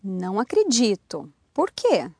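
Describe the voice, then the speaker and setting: A woman co-hosts a language lesson podcast and reads her dialogue parts with plenty of life. Her pitch moves a lot. The sound is dry and close to the mic. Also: wildly swooping pitch, measured pace, darkish tone, slightly rough, dry recording. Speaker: co-host reading dialogues.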